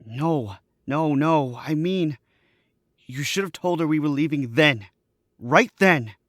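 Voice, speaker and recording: A man's voice: on the high side, slow pace, fairly bright tone, no room sound, studio quality. A young man playing a frightened, stammering accomplice in a 1940s-style radio drama. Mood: panicky. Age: young adult. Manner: stammering and panicky.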